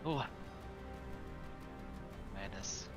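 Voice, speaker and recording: A man playing a tabletop roleplaying game, improvising his move hesitantly and stumbling as he searches for words. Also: very slow. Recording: dull lo-fi mic, music behind.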